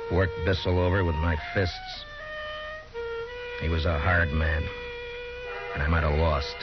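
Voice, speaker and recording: A stern deep-voiced lawman. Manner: firm, commanding, measured. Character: stern frontier lawman, middle-aged man, calm and hard. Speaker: narrator and lead. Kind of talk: 1950s radio drama acting. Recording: dull old radio broadcast.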